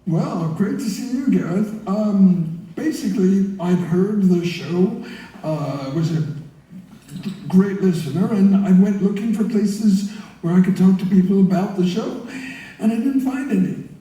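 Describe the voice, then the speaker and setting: A man's voice: sits high, a little slow, dark tone. A man answering questions as a guest in a live interview, speaking in an earnest, enthusiastic way. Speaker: guest, listener group founder. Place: heard through theatre speakers by live link. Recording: very echoey hall, far from mic.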